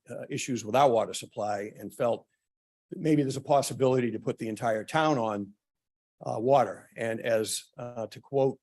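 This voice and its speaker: A man addresses a forum with a voice that is starting to go hoarse, and he now and then clears his throat. His talk is halting and full of uhs and ums. His pitch sits in the middle range for a man. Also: darkish tone. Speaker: town official presenting at a public forum. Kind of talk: prepared remarks at a public meeting.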